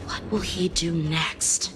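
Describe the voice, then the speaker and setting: An actress playing a respectful, thoughtful companion in a game, gentle and deliberate. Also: female voice, on the low side, steady tone, music in the background. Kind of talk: dramatic video game voice acting.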